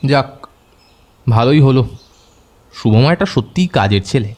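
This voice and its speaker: A man playing a brisk, thoughtful detective in an audio story, speaking in a clipped, conversational way. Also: mid-range voice, expressive, darkish tone, lightly acted. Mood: matter-of-fact. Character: brisk, thoughtful male detective, commanding yet casual. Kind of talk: Bengali audio story acting.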